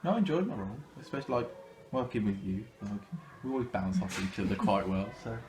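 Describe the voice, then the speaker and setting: Lower-voiced young man. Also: expressive, fast talker, a little mellow, distant mic. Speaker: amateur pantomime performer. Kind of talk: casual interview chat.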